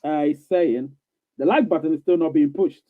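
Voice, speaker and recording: A man chatting on a live stream, his voice pitched a little high and sounding thin and tinny, like it is coming through a phone. The sound is dry, with no room around it. He talks in a loose, rambling way, repeating himself and reassuring listeners. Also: moderate pace, no room sound. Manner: reassuring, coaxing. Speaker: livestream host reading viewer questions. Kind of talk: casual live-stream chat.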